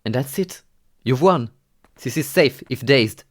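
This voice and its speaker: A man's voice: mid-range voice, lively intonation, a little slow, background noise. A man narrating a horror game playthrough from a script, moving between dramatic storytelling and wry, exasperated asides. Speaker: video game reviewer and narrator.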